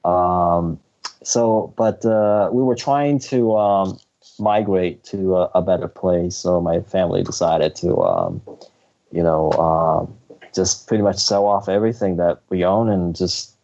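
Low, slow-talking man from Hong Kong. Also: lively intonation, vocal fry, dark tone, dull audio. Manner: hesitant, many fillers and restarts, laughs often. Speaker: podcast guest. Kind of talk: casual chat.

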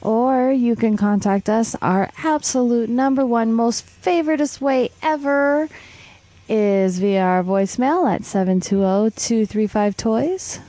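A woman's voice: on the high side, unhurried, mellow, no room sound. A woman co-hosting a podcast, chatting casually in a playful, teasing way and giggling often. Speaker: podcast co-host. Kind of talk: casual chat.

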